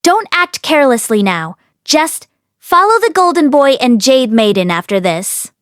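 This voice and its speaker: Girl reading as stern calm master guy from a fantasy audiobook, bossy and terse, high voice, dry sound. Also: a little slow, dry recording. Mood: calm, commanding. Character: stern, calm male cultivation master, sharp-tongued but caring.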